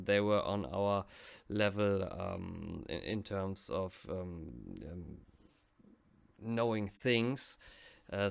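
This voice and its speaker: German man, gravelly and halting. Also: on the low side. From West Germany. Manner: halting, many fillers and restarts. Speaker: reaction channel host. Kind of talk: casual storytelling.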